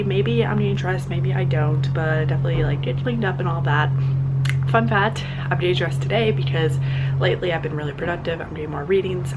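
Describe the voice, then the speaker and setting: A woman's voice: on the low side, background noise. A young woman vlogging casually at home, chatty and rambling, a little congested and nasal. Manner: chatty, rambling, self-deprecating. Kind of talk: casual vlog chat. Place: at home in an apartment.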